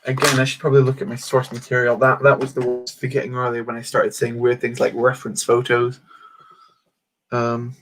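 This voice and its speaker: A man's voice: medium pitch, monotone, brisk, a bit bright, a little hiss or hum, low-quality recording. A man hosting a casual livestream, rambling and answering chat comments while he builds. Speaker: livestream host.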